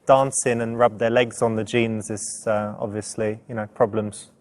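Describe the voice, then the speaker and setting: A man's voice: lowish voice, flat delivery, moderate pace. A man speaking casually and explanatorily as a dating coach and presenter. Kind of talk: casual instructional commentary and acted conversation.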